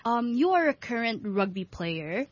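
A woman born in the Philippines, co-hosting a radio interview with quick, friendly follow-up questions. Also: on the high side, sing-song, no room sound. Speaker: radio co-host. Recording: slightly dull broadcast audio.

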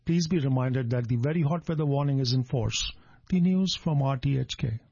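A man's voice: mid-range voice, moderate pace, vocal fry, warm tone, dull audio. A man reading a scripted radio news bulletin as the presenter.

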